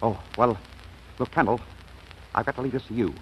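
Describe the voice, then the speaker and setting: A man's voice: mid-range voice, a little fast, a bit bright, a little hiss or hum, close to an everyday voice, poor audio. An actor playing a flustered, bossy businessman in a 1940s-style radio comedy, stammering and abrupt. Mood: awkward, insistent. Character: flustered, bossy businessman pushed into hasty marriage.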